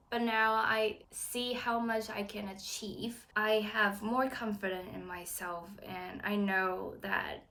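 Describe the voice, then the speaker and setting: A woman's voice: mid-range voice, vocal fry, a bit bright. Earnest woman vlogger from Taiwan. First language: Chinese. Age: 20s. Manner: earnest, encouraging, chatty. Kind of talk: casual talk to camera.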